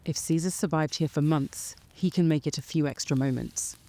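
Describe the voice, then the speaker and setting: A woman's voice: deep voice, normal speed, dark tone. A woman playing a cautious, tough-minded seafarer, speaking firmly in an audio drama. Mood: wary. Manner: firm, cautioning.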